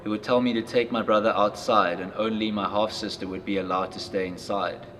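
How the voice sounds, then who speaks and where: A young man reading a life story aloud, then reflecting in a halting way with lots of fillers. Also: fairly low pitch, steady tone, fairly bright tone, slight background noise. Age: young adult. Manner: halting, reflective when speaking freely, with many fillers. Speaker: youth reader.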